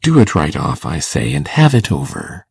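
A man playing a shy, kindly older farmer in a storybook, hesitant and folksy, coaxing gently and repeating himself. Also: a bass voice, wildly swooping pitch, moderate pace, creaky, slightly rough, dry recording, exaggerated voice. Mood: earnest, coaxing. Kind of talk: audiobook character acting.

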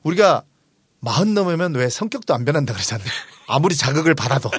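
A man's voice: on the high side, sing-song, fast talker, slightly rough, no echo. A man in his forties hosting a book discussion, explaining ideas conversationally and emphatically. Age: 40s. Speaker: host of a book discussion show; restaurant owner.

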